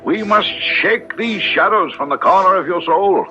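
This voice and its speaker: An actor playing a solicitous, pious, old-fashioned friend who moralizes in florid, preachy, emphatic phrases, in radio drama style. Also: male voice, high voice, unhurried, bright, forceful tone. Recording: muffled old radio recording, faint music.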